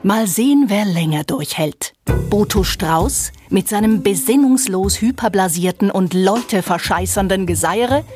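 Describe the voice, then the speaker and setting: A woman's voice: medium pitch, animated, a little slow, over music, natural voice. A woman performing a scripted recitation of scornful literary quotations, composed and pointed. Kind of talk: scripted literary recitation, quote then author's name.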